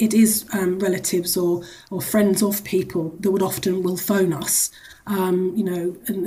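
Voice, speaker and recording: A woman with a soft-edged, mellow tone speaks as an interview guest. Her intonation stays fairly level as she explains things conversationally, qualifying and repeating phrases as she goes. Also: medium pitch, poor audio. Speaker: charity executive, interview guest.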